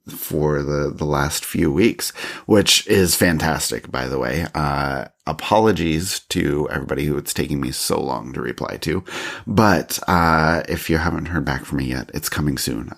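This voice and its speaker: A man with an extremely low, deep voice hosts a podcast alone. His voice sounds congested, as if he is fighting off a cold. He rambles in a relaxed, chatty way, full of filler words and small laughs. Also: creaky. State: congested with a cough. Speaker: podcast host. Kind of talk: casual solo podcast talk.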